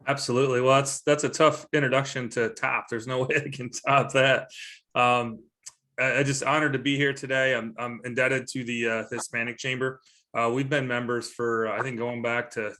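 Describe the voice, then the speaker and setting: A man speaks over a video call in a friendly, rambling way. His voice sits at a middle pitch. He jokes about himself as he goes. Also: little pitch movement, normal speed, pushed and bright. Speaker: staffing firm panelist. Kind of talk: video call self-introduction.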